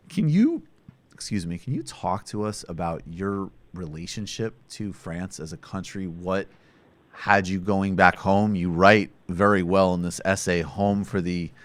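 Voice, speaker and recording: A man hosting a political interview, asking long, animated, sardonic questions. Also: deep voice. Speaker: host.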